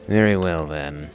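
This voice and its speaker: A man giving musing, deliberate commentary on a video game playthrough. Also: rumbling low. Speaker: gaming video creator reading and commenting. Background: game music.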